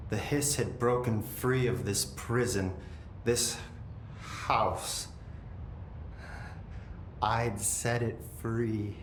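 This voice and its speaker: Man acting as slow, eerily calm possessed brother. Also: close to an everyday voice. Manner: slow, detached, deliberate storytelling with lingering pauses. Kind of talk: dramatic video game acting. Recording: echoey room, noticeable low rumbling noise.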